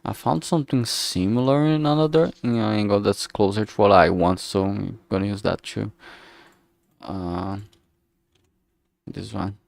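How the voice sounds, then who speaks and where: A man streaming as he works, thinking aloud casually in a halting way and muttering to himself. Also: lowish voice, creaky, no room sound. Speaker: streamer making a visual novel. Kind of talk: casual think-aloud art livestream commentary.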